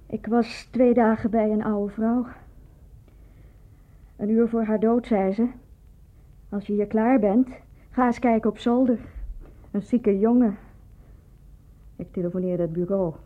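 A woman's voice: on the high side, fairly even intonation, unhurried, pauses often. A woman in a radio drama playing a wary, wounded nurse in her thirties who speaks in a defensive, confessional way. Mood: bitter confession. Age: 30s. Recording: dull lo-fi tinny radio play recording.

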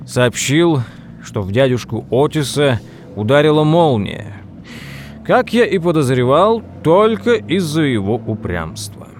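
An actor playing a wry nephew who narrates a family tale in a measured, literary way for an audio drama. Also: male voice, fairly high pitch, big pitch swings, slight background noise. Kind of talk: scripted first-person audio drama narration.

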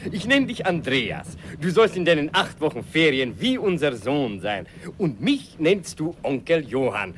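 Fatherly uncle, laughs a lot, kinda high and lively, radio play. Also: unhurried, slight background noise. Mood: hearty. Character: jovial older Hungarian host, warm uncle figure. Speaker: host uncle. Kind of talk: 1950s radio play acting.

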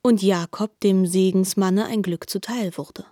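A woman narrating a literary radio drama in a measured, articulate way. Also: mid-range voice, fairly even intonation, warm tone, no room sound, clean studio sound.

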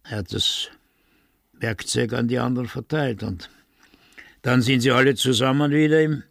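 A creaky-voiced villager played by a male actor, wary and resigned. He confides haltingly and deliberately in a close, dry radio drama recording. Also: mid-range voice, steady tone, no room sound, close to an everyday voice. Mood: confiding, uneasy. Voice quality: creaky, gravelly. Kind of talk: radio play acting.

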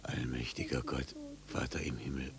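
An actor playing a gaunt, greying, haunted religious mystic in a radio drama, speaking in a hushed, fervent way. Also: male voice, very deep voice, little pitch movement, moderate pace, creaky, a crowd in the background. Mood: fervent prayer. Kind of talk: 1950s German radio drama acting.

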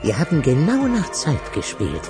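A man's voice: on the high side, huge pitch swings, normal speed, over music. An actor playing a nervy, grumbling, needling man in a radio drama. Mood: needling, edgy. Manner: needling, repetitive.

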